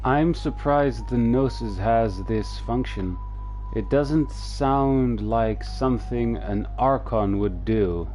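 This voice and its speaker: A man streaming a game, reading dialogue aloud in a halting, low-key way. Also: a little mellow. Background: game music.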